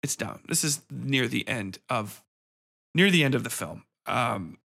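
A man's voice: mid-range voice, lively intonation, measured pace, creaky, pushed and bright. A man hosting a podcast, giving a casual, opinionated film review in a profane, incredulous manner.

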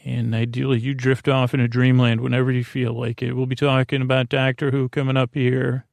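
A man with a gravelly, fry-laden voice delivers a rambling bedtime monologue as a podcast host. His pitch sits in an ordinary male range, and he meanders haltingly, dropping and restarting thoughts. The sound is dry and close, with very little room. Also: little pitch movement, a little mellow, no room sound. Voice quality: creaky, gravelly.

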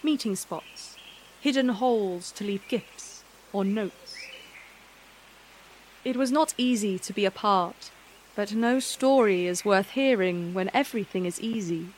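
A woman narrating a scripted fantasy story in a measured, dramatic storytelling manner. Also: mid-range voice, darkish tone, slight background noise, low-quality recording.

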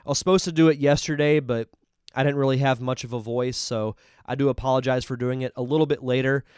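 A man's voice: mid-range voice, steady tone, fast, darkish tone, clear, resonant tone, no echo, clean studio sound. A man with a congested voice hosting a live podcast, chatting casually and enthusiastically as he reviews a film. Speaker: podcast host.

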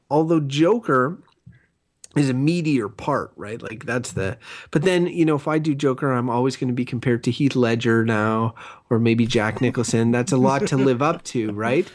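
A man's voice: mid-range voice, normal speed, a little mellow. A man co-hosting a podcast in casual banter, chatty and rambling, with many fillers. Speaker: podcast co-host.

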